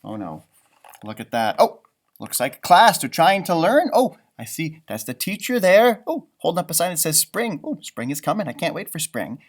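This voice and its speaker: A man reading a picture book aloud to young children as a teacher, with expressive asides and questions to his listeners. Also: a little high, moderate pace. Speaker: elementary school teacher reading storybooks aloud.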